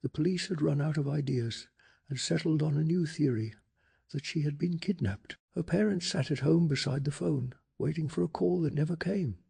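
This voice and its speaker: A man softly narrating a bedtime story in a calm, hushed ASMR style, telling it with deliberate drama. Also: on the high side. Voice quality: soft, breathy.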